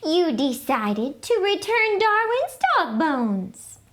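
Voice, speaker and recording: A woman voicing a gentle, proud mother cat in a storybook read-aloud, with a warm, coaxing tone. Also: extremely high pitch, very animated, slow, voice pushed up, heavily acted. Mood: fond.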